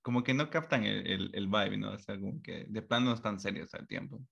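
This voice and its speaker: A man's voice: fairly low pitch, fairly quick, gravelly, fairly bright tone, husky, lo-fi sound. A man hosting a casual conversation, rambling and offering frequent backchannels.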